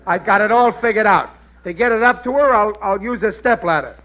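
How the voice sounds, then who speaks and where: A man's voice: high-pitched, fairly quick, natural voice. A man playing a stubborn, boastful working-class husband and father in an old radio sitcom, indignant and ungrammatical. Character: blustering, stubborn working-class family man, dim schemer. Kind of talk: 1940s radio sitcom acting. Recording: tinny, narrow old radio recording.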